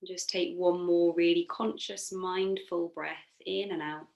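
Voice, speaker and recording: Woman guiding relaxation in an even, low-set voice. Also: measured pace, vocal fry. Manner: soothing, gently encouraging, unforced. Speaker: yoga nidra instructor. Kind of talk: guided relaxation meditation.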